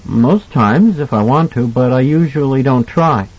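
Audiobook part: earnest, polite little boy, played by a man, childlike and puzzled, higher-pitched, lo-fi sound. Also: a little slow, lightly acted. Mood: eager, candid. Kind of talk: audiobook character acting.

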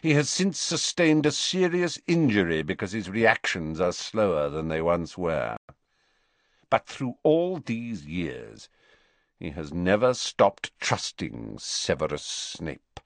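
Man playing a cold calculating wizard, slow and deliberate, dry close sound. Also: sits low, very animated, no room sound, heavily acted. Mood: smug. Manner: measured, cool, deliberate. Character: cold, calculating male wizard, measured and guarded. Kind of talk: audiobook character voice.

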